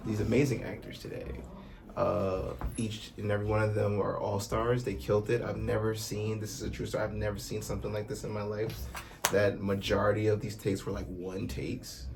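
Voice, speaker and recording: Guy chatting casual, gravelly, pretty monotone, noisy background. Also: fairly low pitch, a little fast. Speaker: sound engineer. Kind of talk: casual behind-the-scenes chat. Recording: clear background noise.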